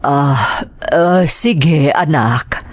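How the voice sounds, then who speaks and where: A woman playing an ailing, gentle mother in an Ilocano radio drama, speaking weakly and haltingly with a raspy voice. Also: low-pitched, full character voice. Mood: gentle, hesitant. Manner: weak, hesitant, halting. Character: ailing, gentle, self-sacrificing mother of a schoolgirl.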